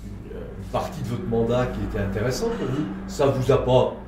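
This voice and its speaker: A man's voice: mid-range voice, moderate pace. Man, interviewer, conversational questions, reverberant room, noticeable background noise.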